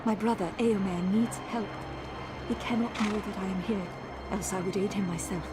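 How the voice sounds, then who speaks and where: A woman's voice: medium pitch, steady tone, normal speed, natural voice. A woman voicing a noble young warrior lady in a fantasy video game, earnest, formal and urgently pleading. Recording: loud music over the voice.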